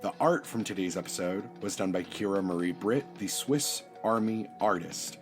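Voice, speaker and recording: A man's voice: fairly low pitch, moderate pace. A man reading a scripted introduction and credits as a podcast announcer. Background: prominent music.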